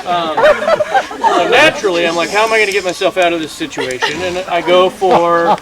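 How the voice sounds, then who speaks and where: A middle-aged man telling an informal comic story to a group, acting out the dialogue in an animated way. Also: high voice, brisk. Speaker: campfire storyteller. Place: outdoors among a gathered crowd. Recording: noisy outdoor recording with audience chatter. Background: audience sounds, outdoor ambience.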